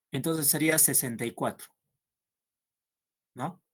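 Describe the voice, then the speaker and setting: A man's voice: a little high, husky. A man teaching a math class, working through problems aloud and checking with students as he goes. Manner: slow and patient, checks understanding with "¿no?".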